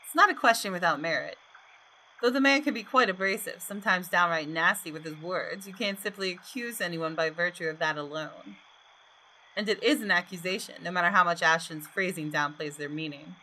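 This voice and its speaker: Bright-voiced woman reading game narration. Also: fairly low pitch, brisk, a little hiss or hum, rough recording. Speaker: gaming video host. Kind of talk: reading game narration aloud with casual asides.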